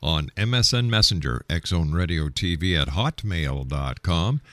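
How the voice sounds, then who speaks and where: A man's voice: deep voice, lively intonation, normal speed, sharp tone, dry recording. A man hosting a radio talk show, introducing and interviewing.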